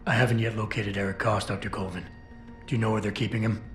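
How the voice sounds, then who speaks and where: Man voicing a low, stoic cyborg operative. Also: level tone, quick pace, fairly bright tone, close to an everyday voice. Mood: controlled, firm. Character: stoic, determined male cyborg security operative on a rescue mission. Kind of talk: video game cutscene dialogue. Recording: roomy game cutscene with music. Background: background music.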